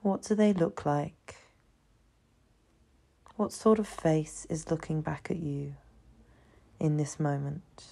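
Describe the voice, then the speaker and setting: A woman leading a guided meditation in a soothing, hushed manner, leaving long pauses between phrases. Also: sits low, gravelly. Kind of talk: guided meditation, slow scripted narration.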